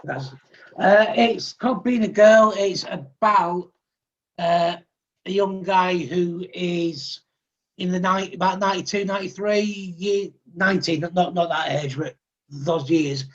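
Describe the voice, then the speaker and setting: English guy, high-pitched voice, teasing, kinda muffled call audio. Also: a little slow. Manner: wry, teasing, self-promoting. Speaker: panel host, comic artist. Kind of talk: casual panel chat.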